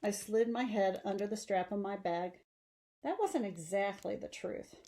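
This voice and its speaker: A woman narrates a story for young readers in the manner of an audiobook. Her delivery is expressive, with a medium-pitched voice that carries the first-person narration. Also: a little slow. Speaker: teacher reading a novel aloud.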